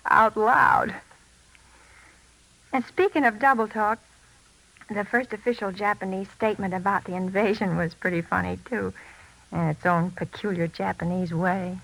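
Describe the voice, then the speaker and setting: An actress playing an earnest pilot's wife in a 1940s radio drama, narrating a letter intimately and reflectively. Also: female voice, medium pitch, gravelly, sharp tone, heavily acted, low-quality recording. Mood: gently wry. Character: earnest wartime pilot's wife writing to her husband. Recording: old 1940s radio recording with music.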